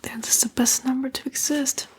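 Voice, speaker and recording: A woman's voice: high voice, fairly even intonation, moderate pace, lightly acted. A woman voicing a sly, sassy female demon in a whispered ASMR roleplay, coaxing and flippant, with disdainful slang. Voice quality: breathy, mostly whispered.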